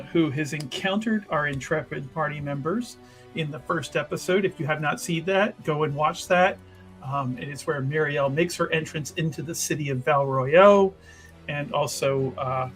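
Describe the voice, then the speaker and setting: Playful, wry man with a higher voice. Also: fairly bright tone, rough recording. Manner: playful, wry, repeating phrases for emphasis. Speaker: tabletop roleplay player. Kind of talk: casual character introduction and banter.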